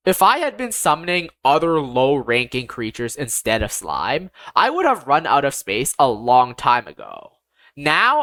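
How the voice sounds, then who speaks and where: A narrator of ambiguous-sounding gender reading a first-person fantasy story in a measured, deliberate, expressive way. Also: mid-range voice, dry recording. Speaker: audiobook narrator.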